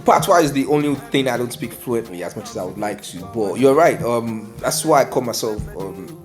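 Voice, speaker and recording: A man from Nigeria, a musician guest chatting in an interview, animated and boastful, full of slang and shout-outs. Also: medium pitch, a little fast, faint music behind. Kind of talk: casual interview chat.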